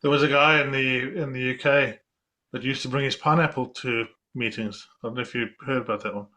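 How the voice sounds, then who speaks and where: A man hosting a podcast interview, relaxed and halting, dropping in "yeah" between thoughts. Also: medium pitch. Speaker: podcast host. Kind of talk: casual interview chat.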